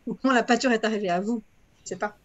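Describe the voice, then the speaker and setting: A woman's voice: fairly high pitch, very fast, dull audio. A woman interviewer speaking conversationally, with an appreciative, welcoming manner. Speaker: interviewer, co-host.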